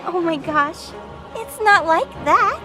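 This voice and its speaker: A young female archangel, played by a woman in an audio drama, with an extremely high, earnest voice. She speaks slowly in casual, exasperated phrases over loud music. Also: animated, exaggerated voice. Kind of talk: scripted audio drama acting.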